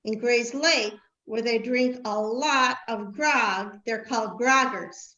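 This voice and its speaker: A woman's voice: fairly high pitch, takes it slowly, pushed and bright. A woman playing a proud, party-loving biblical queen in a playful scripted comic monologue for amateur reader's theater. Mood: explanatory. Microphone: video call. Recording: dull, roomy video-call audio.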